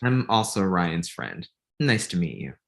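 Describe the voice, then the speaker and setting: A man's voice: sits low, sing-song, a little slow, creaky, fairly bright tone, exaggerated voice. A man playing a self-assured, friendly young friend with an easygoing manner in a comedy table read. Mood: polite.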